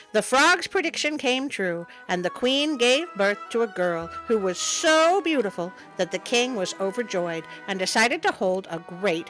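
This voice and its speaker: A woman's voice: medium pitch, a bit bright, poor audio. A woman hosting a story show, reading a tale aloud with playful, wry asides.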